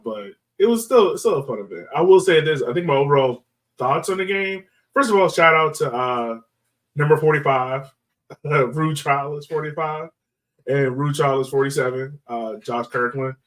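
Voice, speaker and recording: A man's voice: a little high, moderate pace, distant mic, low-quality recording. Man chatting sports, slightly off mic. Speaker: soccer podcast host. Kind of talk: casual sports chat.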